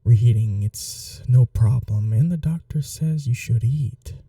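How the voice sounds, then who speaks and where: Man playing a dark-voiced, courteous vampire gentleman. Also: mid-range voice, measured pace, no room sound, clean studio sound, far from the mic. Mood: caring, attentive. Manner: polite, soothing, softly formal. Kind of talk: intimate ASMR roleplay.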